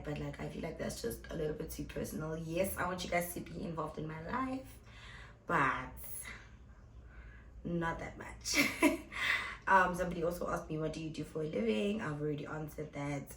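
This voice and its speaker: A young woman in her twenties talks straight to her viewers in a chatty, blunt, playful way. Her voice sits on the lower side, and the room around her rings with echo. A faint hum of background noise runs underneath. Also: fairly bright tone. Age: 20s. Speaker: vlogger and club host. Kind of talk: casual Q&A vlog to camera.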